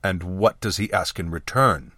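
A man's voice: low-pitched, normal speed, a bit bright, no echo, studio quality. A man voicing a scary, suspicious male pirate in a fantasy audiobook, growling yet formally courteous.

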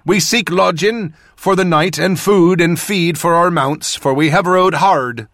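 Casting: male reader as young country mage, fantasy audiobook. Higher register, rustic accent, courteous, earnest, old-fashioned formality. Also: a little slow, a bit bright, no echo, clean studio sound. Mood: formal greeting. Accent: rustic northern accent of a fantasy land.

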